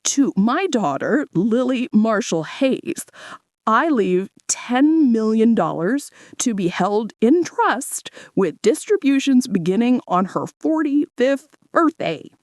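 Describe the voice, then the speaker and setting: A woman voicing a formal male lawyer reading out legal text in an audio story, in a measured manner. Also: a little high, big pitch swings, darkish tone, no echo, clean studio sound. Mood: formal, emphatic.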